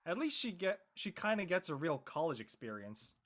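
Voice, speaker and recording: A young woman playing an easygoing, earnest college student with casual, slangy, expressive speech in a comedic drama reading. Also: lowish voice, moderate pace. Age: young adult.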